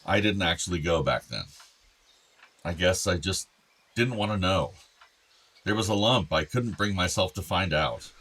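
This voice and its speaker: Low, husky aging father. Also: measured pace, long pauses. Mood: grave, confessing. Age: older. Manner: reflective, gently earnest. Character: ailing, tender, wistful aging father of two grown sons. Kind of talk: radio play acting.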